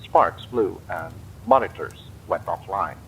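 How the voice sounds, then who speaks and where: A man reading a horror story aloud, in a slow and expressive way. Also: low voice, vocal fry, a little hiss or hum, rough recording. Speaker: story reader.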